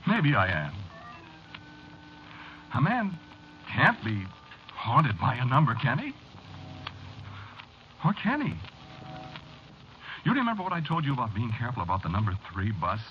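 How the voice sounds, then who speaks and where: An American actor playing a haunted, rattled businessman who tells his eerie story haltingly and confidingly in a 1940s radio horror drama, on a muffled, noisy old recording. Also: medium pitch, wildly swooping pitch. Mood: shaken, anxious. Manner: halting, repeating himself, confiding. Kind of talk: 1940s radio acting, first-person horror narration.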